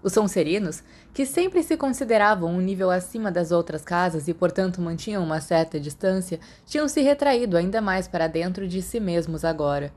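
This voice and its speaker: A woman's voice: fairly low pitch, expressive, a little fast, few pauses, a little mellow. A woman with a Brazilian accent reading a scripted story as an audiobook narrator.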